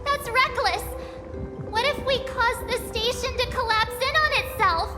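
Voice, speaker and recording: A woman voicing an excitable, easily flustered young girl in an animated video game style. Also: extremely high pitch, a little slow, in a large hall, over music. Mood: anxious.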